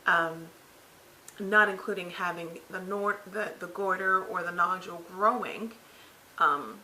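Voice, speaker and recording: A woman in her thirties with a raspy voice that cracks at times, sounding tired after throat surgery, giving a rambling, casual update full of fillers from her living room couch. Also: fairly low pitch, a little slow, sharp tone, slight background noise, rough recording. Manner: rambling, filler-heavy, self-correcting. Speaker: vlogger. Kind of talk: casual health update vlog.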